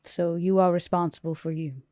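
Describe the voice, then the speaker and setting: A woman's voice: lowish voice, steady tone, normal speed, dark tone, no echo, studio quality, natural voice. A woman playing a haughty, commanding female vampire with a cool, imperious, clipped manner. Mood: cool, probing.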